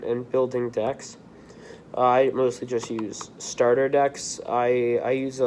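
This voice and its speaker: Slightly hoarse teen boy rambling. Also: medium pitch, darkish tone, slight background noise, poor audio. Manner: rambling, drifting between topics, frequent restarts. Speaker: video creator. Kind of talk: casual rambling monologue.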